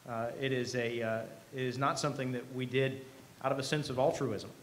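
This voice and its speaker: Middle-aged male keynote speaker, mid-pitched, earnest and conversational, live podium sound with noticeable room noise. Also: steady tone, fairly quick. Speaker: company president, keynote speaker. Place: event hall stage. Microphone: podium microphone. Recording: live podium speech with clear background noise.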